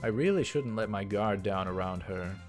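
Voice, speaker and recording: A man's voice: lowish voice, moderate pace, faint music behind, lightly acted. A man playing a wary, exasperated young man in a visual novel, musing in a guarded way.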